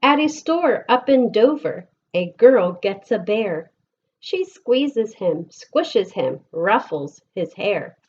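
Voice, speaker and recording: Slow-paced American woman reading a storybook. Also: medium pitch, big pitch swings, a little mellow, dull audio, a little hiss or hum. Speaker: elementary school teacher reading aloud. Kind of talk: picture-book read-aloud for children.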